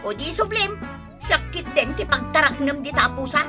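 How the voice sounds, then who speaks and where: A woman acting a fussy, excitable mother in an Ilocano radio drama, with an Ilocano accent and an exclamatory way of talking. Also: sits high, normal speed, soft background music. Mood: scolding. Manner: exclamatory, nagging. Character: fussy, excitable mother fond of her pet cat.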